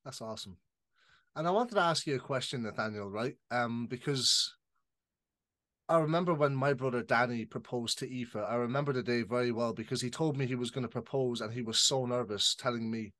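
A man's voice: medium pitch, a little fast, fairly bright tone, no echo, clean studio sound. A man conducting a casual interview, asking a long question after listening closely. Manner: attentive, with frequent short affirmations.

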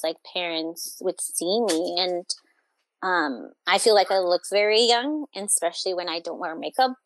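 A woman's voice: on the low side, no room sound. A young adult woman talking casually as an interview guest, in an informal, hesitant, meandering and self-reflective way. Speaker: family therapist, interview guest.

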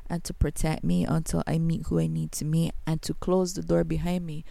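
A woman with a Barbadian accent talks through her own podcast episode in an earnest, reflective manner. Her voice sits low, with a mellow, gravelly texture. The sound is dry and close, with no room around it. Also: level tone, a little fast, no echo. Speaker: podcast host. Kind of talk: solo podcast monologue.

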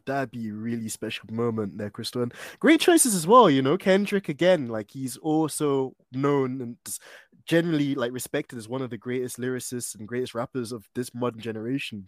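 A man's voice: medium pitch, dry recording, clean studio sound. A man hosting a podcast interview, upbeat, prompting his guest with questions and easy laughs. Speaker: podcast host.

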